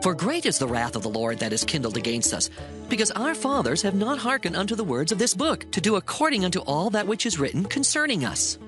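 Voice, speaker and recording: A man's voice: high-pitched, very animated, brisk. An actor playing a solemn, commanding biblical king in a dramatized scripture reading, declaiming formally. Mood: grave, urgent. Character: king of Judah, solemn, commanding, alarmed by divine wrath. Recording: music bed under the voice.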